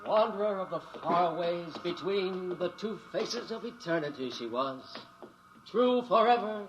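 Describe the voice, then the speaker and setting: A man's voice: high-pitched, animated, measured pace, dull audio. A man playing an earnest, dreamy spaceman poet with lyrical delivery in a 1950s radio drama. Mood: dreamy, reciting. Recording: noisy old radio recording.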